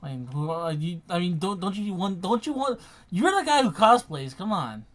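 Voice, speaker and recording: Male gamer, casual and sweary, distracted, with lively swings in pitch. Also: normal speed. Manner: sweary, repeats phrases, distracted. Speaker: player on a video game stream. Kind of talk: casual chat while gaming.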